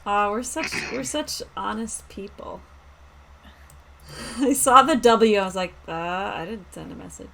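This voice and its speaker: A woman's voice: mid-range voice, a little slow, creaky, bright, forceful tone. A woman playing in a streamed roleplaying game, chatting informally and explaining things with plenty of "like". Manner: informal, explanatory, uses "like" often. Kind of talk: casual chat. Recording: faint background noise.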